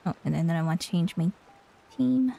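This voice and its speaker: A young woman streams a game in a mellow voice with noticeable fry. She chats casually and playfully, her pitch moving freely. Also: lively intonation, brisk. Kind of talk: casual gameplay commentary. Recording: faint game music behind. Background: video game music.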